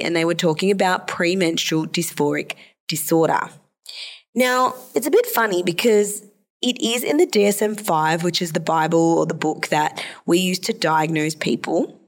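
Slightly hoarse, low-pitched woman podcaster. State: slightly hoarse, nasal from a sore throat. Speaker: podcast host and psychologist. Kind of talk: solo podcast talk.

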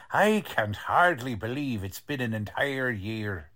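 A man performs a folksy 1940s police lieutenant of radio drama. His voice has a medium pitch. He addresses listeners proudly and plainly in character. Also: sing-song, slow pace, a bit bright. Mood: folksy, pleased.

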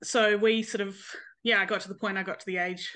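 A woman's voice: mid-range voice, fairly even intonation, a little fast, pushed and bright. A woman in her late thirties with a New Zealand accent tells her story openly and candidly. She talks in a frank, easygoing way and often laughs. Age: late 30s. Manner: open, frank, laughs easily. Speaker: guest, nurse and mother. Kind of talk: personal story in interview.

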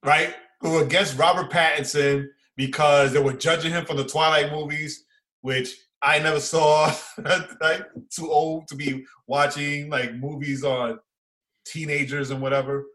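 Excitable higher-pitched New man. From Far Rockaway, New York, United States. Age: 40s. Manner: excitable, slangy, repeats phrases for emphasis. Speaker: podcast co-host. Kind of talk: casual fan chat, reviewing and reacting.

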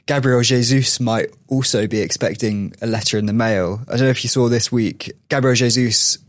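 Podcast host, man, mid pitch, dry close sound, chatty storyteller. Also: normal speed, no room sound. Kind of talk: casual chat.

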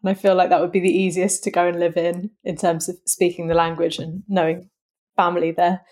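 A woman's voice: lowish voice, flat delivery, a little fast, a little mellow. A young woman chats in a casual, friendly way. She asks curious follow-up questions and laughs easily. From North London, England. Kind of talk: casual conversation between friends.

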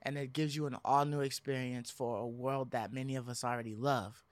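A man's voice: medium pitch, level tone, fairly quick. A man chatting informally and with some slang as a podcast co-host. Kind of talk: casual chat.

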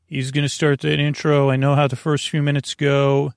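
Creaky-voiced man rambling a bedtime monologue. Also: a little high, monotone, no echo, studio quality. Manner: meandering, halting, full of tangents and self-corrections. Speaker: podcast host. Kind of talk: rambling bedtime monologue meant to lull listeners to sleep.

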